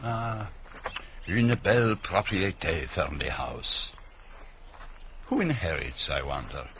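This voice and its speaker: An actor playing a shrewd, courteous, slightly vain detective with a French accent, deliberate and inquisitive, in a radio drama. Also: male voice, deep voice, very animated, vocal fry, fairly bright tone, slight background noise, exaggerated voice. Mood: curious, musing. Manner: deliberate, inquisitive, halting over English words. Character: shrewd, courteous foreign detective with quiet vanity.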